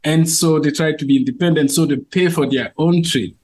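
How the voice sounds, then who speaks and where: Cameroonian man, slightly high voice. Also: a little fast, darkish tone. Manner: earnest, with frequent fillers and restarts. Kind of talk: interview answers.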